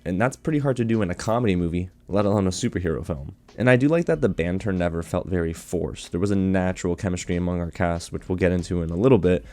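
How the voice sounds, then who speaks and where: A man's voice: deep voice, quick pace, hardly any pauses, darkish tone, no room sound. A man hosting his own channel, chatting enthusiastically to viewers in a casual movie review while getting over a cold.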